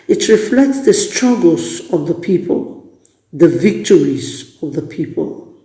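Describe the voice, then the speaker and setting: A man delivers a formal prepared monologue in a very dark, mellow voice. He phrases things deliberately and slowly, and the room echoes around him. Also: a little high. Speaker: guest contributor. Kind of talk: prepared monologue, reading out an answer. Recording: roomy, echoing sound.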